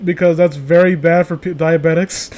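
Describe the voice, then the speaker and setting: A man with a very high voice hosts a casual group chat on a stream. He rambles excitably and circles around his thoughts as he goes. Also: moderate pace. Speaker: host of a live group stream.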